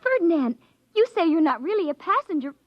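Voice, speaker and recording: Bossy scolding girl, very high and shrill, dry muffled old radio sound. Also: very animated, brisk, dry recording. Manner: nagging, scolding. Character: prim bossy girl scolding a younger boy. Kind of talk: 1950s radio drama acting.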